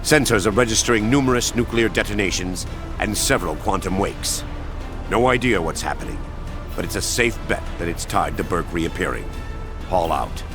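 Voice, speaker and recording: A gruff military commander in a game briefing, in a long reverb over loud music. His voice is lowish, and he talks bluntly and plainly, with no nonsense. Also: lively intonation, normal speed. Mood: grim, urgent.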